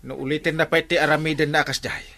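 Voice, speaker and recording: A man's voice: fairly high pitch, flat delivery, quick pace, gravelly, bright, forceful tone. A man acting an exasperated, scolding village captain in an Ilocano radio comedy drama, sputtering and stammering with an Ilocano accent.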